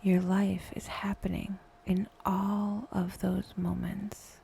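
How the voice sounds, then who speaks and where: A woman guiding a meditation in a soft, breathy, often near-whispered voice, speaking in short phrases with long pauses. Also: medium pitch, flat delivery, a little hiss or hum. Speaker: meditation guide, podcast host. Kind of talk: guided meditation, soft slow scripted narration.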